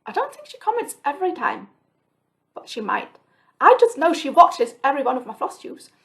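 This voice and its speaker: Chatty woman, slightly high voice. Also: big pitch swings, moderate pace. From Switzerland. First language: Swiss German. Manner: chatty, reflective, many ums and restarts. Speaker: flosstube host. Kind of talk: casual rambling chat to camera. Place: home, alone.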